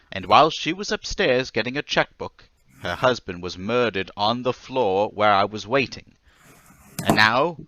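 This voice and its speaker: An actor playing a troubled, desperate man confessing to murders, halting and pleading in old time radio drama style. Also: fairly low pitch, bright, forceful tone, slight background noise. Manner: halting, agitated, stammering, pleading.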